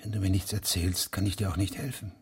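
Investigating judge from a radio play, grave and persistent. The voice sits fairly low and is strongly breathy and rough. He probes with insistent questions. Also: level tone, quick pace. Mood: quietly insistent. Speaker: actor. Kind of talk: German radio play acting.

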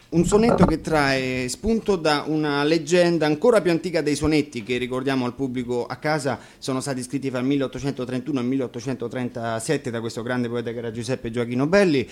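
Guy chatting between songs at a gig, explaining stuff, bit high voice, some ums. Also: darkish tone. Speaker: musician introducing songs at a concert. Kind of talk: informal spoken introduction and storytelling to an audience. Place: radio broadcast of an acoustic concert.

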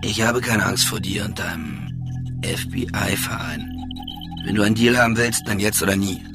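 A man's voice: on the low side, unhurried, gravelly. An actor playing a defiant male hacker bargaining with an agent in a radio drama. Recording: music under the voice.

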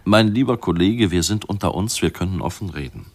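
A man's voice: deep voice, animated, fairly quick. An actor playing a pompous, long-winded male medical researcher who lectures didactically in a radio play. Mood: self-important, confiding.

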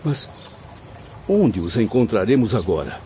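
An actor playing an anguished, restless male spirit in a radio serial, speaking in slow, plaintive, formal phrases. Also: fairly low pitch, very animated, warm tone. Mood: troubled, despondent. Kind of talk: dramatic radio serial acting. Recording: muffled audio with faint background noise.

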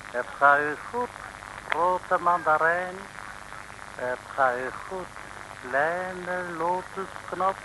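An actor playing a sly, gloating Chinese henchman in a radio serial, with a mock Chinese accent and broken, flowery, mock-servile phrasing. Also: on the high side. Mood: mock-humble. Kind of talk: 1950s-60s radio serial acting. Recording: noisy old radio recording.

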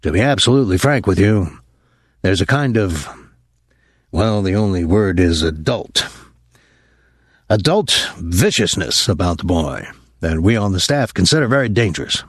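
A man voicing a nervous, apologetic older military academy colonel in an audiobook, speaking formally and haltingly with some embarrassment. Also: low-pitched, very animated, breathy edge, no echo, clean studio sound, heavily acted. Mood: uneasy, grave.

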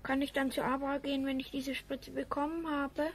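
A woman's voice: high-pitched, little pitch movement, normal speed, slight background noise. A woman playing a trusting, obedient young boy with an innocent, earnest manner in a radio play. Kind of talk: 1970s German radio play acting.

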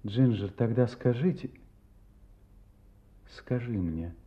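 An actor playing an earnest, courteous and curious gentleman in an audio drama, with an expressive, somewhat hesitant manner. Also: medium pitch, slow pace, natural voice. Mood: tender, hesitant. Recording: slightly dull audio with music.

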